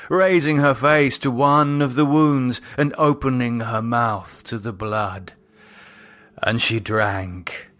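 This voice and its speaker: A man narrating a horror novel in a measured, dramatic storytelling manner. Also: mid-range voice, fairly bright tone. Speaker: audiobook narrator.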